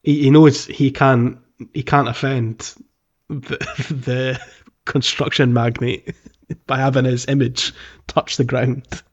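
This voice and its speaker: A dull, slightly lo-fi recording carries an amused man in casual podcast conversation. He co-hosts a gaming show in a middle-pitched voice and chuckles easily as he talks. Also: little pitch movement, takes it slowly. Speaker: podcast co-host. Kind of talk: casual video game podcast chat.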